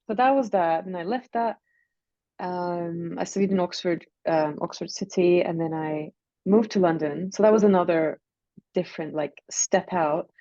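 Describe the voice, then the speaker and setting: Guest coach, Turkish accent, low mellow voice, dry dull video-call sound. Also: female voice, no echo. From Turkey. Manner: reflective, searching phrasing with fillers. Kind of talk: relaxed interview.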